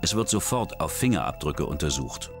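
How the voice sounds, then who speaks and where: Male documentary narrator, low register, scripted crime storytelling, music underneath. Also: very animated, moderate pace. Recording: music bed under voice-over. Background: background music.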